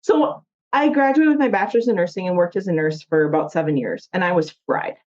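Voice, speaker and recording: A woman's voice: lowish voice, a little fast, highs cut off. A woman in her thirties with a Minnesota accent, a chatty guest telling her story in a casual interview. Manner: chatty, laughs often, many "like" fillers. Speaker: guest, construction company owner.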